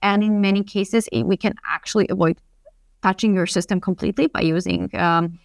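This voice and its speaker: Woman from customer success fielding webinar questions, reassuring, lots of ums, smooth voice, dry close sound. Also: mid-range voice, steady tone, brisk, dry recording. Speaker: customer success team lead. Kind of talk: webinar Q&A answers.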